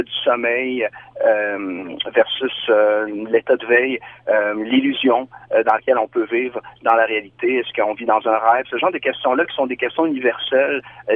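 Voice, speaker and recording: A Québécois man speaks thoughtfully and at length, explaining his ideas in a measured way. His voice comes through a tinny, phone-like line. The sound is dry and close, with no room around it. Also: medium pitch, no room sound. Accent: Québécois French. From Quebec, Canada. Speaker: singer-songwriter guest. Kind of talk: reflective interview answers.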